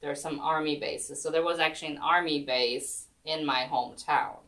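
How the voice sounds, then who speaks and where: A woman with a notably low voice chats easily about her life, wandering through her stories with plenty of ums and restarts. She sits some way off the microphone, so her voice carries a distant, roomy quality. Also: steady tone, moderate pace, fairly bright tone. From Germany. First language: German. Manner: chatty, rambling, many ums and restarts. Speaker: guest, chair yoga instructor. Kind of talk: casual storytelling interview. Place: senior center room.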